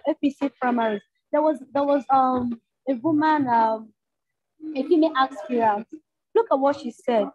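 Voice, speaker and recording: A woman's voice: high-pitched, darkish tone, breathy edge. A young Nigerian woman who leads a campus group, speaking earnestly on a panel over a video call, urging and repeating phrases for emphasis. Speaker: panelist, leader of a campus organization. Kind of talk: impassioned panel talk. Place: indoor room at home. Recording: muffled lo-fi video call audio.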